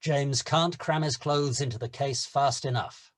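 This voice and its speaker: A man's voice: medium pitch, unhurried. A man narrating stage directions in a scripted screenplay table read.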